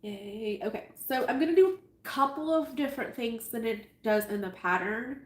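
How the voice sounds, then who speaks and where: A woman's voice: a little high, moderate pace. A woman with a stuffy, nasal voice hosting a casual live sewing tutorial, chatty and self-amused as she greets viewers. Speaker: sewing livestream host. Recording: echoey room with faint noise.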